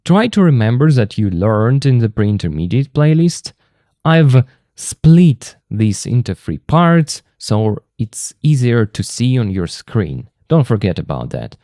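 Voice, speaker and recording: A man with a Russian accent teaching English grammar from a textbook, explaining slowly and patiently and repeating the key terms. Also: medium pitch, big pitch swings, mellow, dry recording, studio quality.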